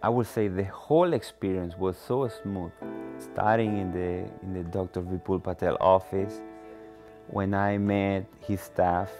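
A man's voice: deep voice, steady tone, unhurried, music in the background. A middle-aged man from the Dominican Republic with a Dominican Spanish accent, a native Spanish speaker, giving a personal testimonial. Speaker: cancer patient telling his story. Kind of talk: personal testimonial in a promotional documentary.

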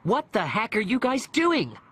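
A man's voice: very high-pitched, huge pitch swings, measured pace, fairly bright tone, husky, voice pushed up, full character voice. A man voicing an exasperated, bossy girl in an audiobook, sharply scolding. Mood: cross. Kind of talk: audiobook character voice.

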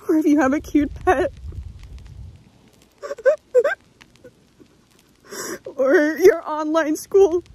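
A woman's voice: sits high, darkish tone, full character voice, poor audio. A young woman playing a terrified camper crying through a confession to camera in a horror parody. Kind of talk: tearful horror parody monologue. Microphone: handheld camera close to face.

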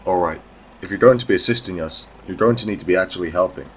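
Male actor as a stern drug dealer, audio drama; deep, dry, dismissive. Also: quick pace, noisy background, natural voice. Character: Buck, gruff no-nonsense male drug dealer.

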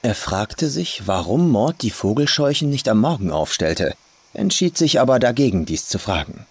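A man's voice: mid-range voice, very animated, moderate pace, slightly rough, no room sound. A man reading a scripted horror story as an audiobook narrator. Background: faint atmospheric ambience.

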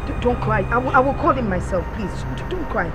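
A woman's voice: on the high side, lively intonation, quick pace, close to an everyday voice. A woman playing a stern scolding big sister. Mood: soothing. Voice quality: forceful, scolding. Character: stern commanding older sister, family enforcer. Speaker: eldest sister. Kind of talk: film drama acting. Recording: echoey room with music bed.

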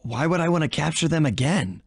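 An actor playing a suave, wry young raccoon thief in a video game, casual and confident. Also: male voice, fairly high pitch, animated, a little fast, husky, no echo, clean studio sound.

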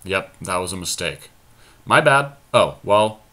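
A man's voice: low-pitched, lively intonation, measured pace, sharp tone. A man giving casual, conversational commentary on a card game he is playing, often self-deprecating. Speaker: podcast host commentating on own card game.

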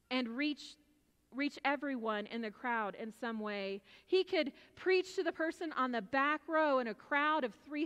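Female American preacher, high-pitched, projecting emphatically in a big echoing church. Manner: emphatic, loud projected delivery. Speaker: pastor preaching. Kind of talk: sermon with personal storytelling. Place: large church sanctuary, at pulpit. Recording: reverberant large church hall.

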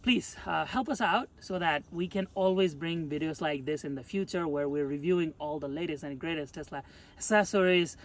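A man's voice: on the high side, moderate pace. A man in his thirties casually reviewing a product outdoors, chatting while he demonstrates it by hand. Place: outdoors beside a car, moving around the trunk.

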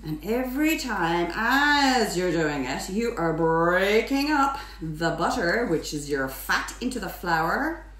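A woman's voice: on the low side, expressive, takes it slowly, fairly bright tone. A middle-aged Irish woman hosting a chatty home baking demonstration in a playful, theatrical, exuberant way, sometimes sing-song. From Ireland. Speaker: baking teacher and host. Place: home kitchen, indoors. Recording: echoey kitchen, mic at a distance.

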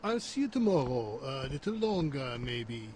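A man's voice: medium pitch, very animated, normal speed, very noisy, lightly acted. A man voicing a stern father who lectures his son firmly. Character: stern father, ice cream maker.

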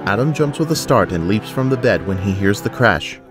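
A man's voice: on the low side, lively intonation, fairly quick, music in the background, natural voice. A man narrating an action audio drama in a sober, scripted way.

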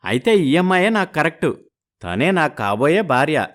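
A man acting out scheming young men's casual, slangy buddy talk in an animated moral story. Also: a little high, moderate pace. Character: scheming poor young man hunting for a bride.

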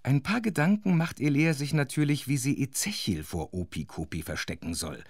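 A man's voice: medium pitch, animated, normal speed, gravelly, no echo, clean studio sound. A man narrating a scripted children's story, with playful, conspiratorial asides to the listener.

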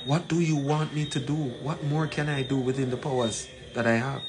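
A man in his forties with a Jamaican accent gives an emphatic, deliberate address to camera, repeating phrases for weight. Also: medium pitch, steady tone. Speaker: community leader. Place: small plain room indoors. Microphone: phone at arm's length. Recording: noisy room, ambient background sound.

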